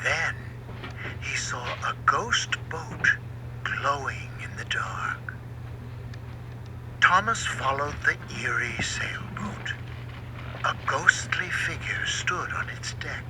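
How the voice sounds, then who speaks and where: A man reading a children's storybook aloud in an expressive, dramatic way. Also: a little high, takes it slowly, in a whisper, low-quality recording. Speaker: book narrator. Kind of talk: scripted storybook narration for children. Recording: home recording with faint background noise.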